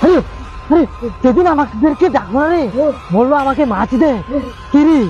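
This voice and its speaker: A man playing an excitable, eager village fisherman in an amateur horror-comedy skit, exclaiming and repeating himself. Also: very high-pitched, moderate pace. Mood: puzzled, uneasy. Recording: dull lo-fi sound with loud music.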